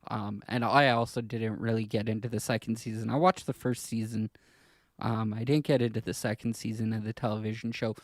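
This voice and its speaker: A man co-hosting a podcast in casual discussion, speaking hesitantly in halting, trailing clauses. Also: lowish voice, little pitch movement, no echo. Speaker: podcast co-host.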